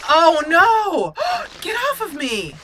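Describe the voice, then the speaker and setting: A woman's voice: very high voice, big pitch swings, takes it slowly, bright, forceful tone, slight background noise. A woman giving casual roleplay commentary as she plays a game, talking to her character and musing in role. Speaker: gaming channel host. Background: video game sounds.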